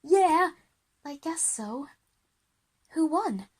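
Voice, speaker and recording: A woman's voice: sits high, lively intonation, extremely slow, pitched above the performer's own voice, full character voice. A woman playing an outspoken, cautious schoolgirl in a children's audio drama. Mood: worried.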